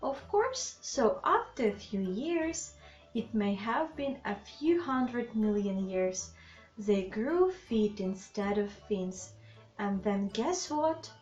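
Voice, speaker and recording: Lady reading a kids picture book super slowly, bit muffled recording, music behind. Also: medium pitch. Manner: slow, deliberate, animated phrasing with questions to the child listeners. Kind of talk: expressive read-aloud bedtime story with asides to young listeners. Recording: slightly dull, lo-fi sound with music.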